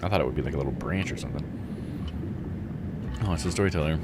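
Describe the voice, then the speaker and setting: A man's voice: rumbling low, fast talker, loud music behind the voice. A man giving casual game commentary, thinking aloud in a musing, tentative way as he plays a visual novel. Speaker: streamer playing a visual novel.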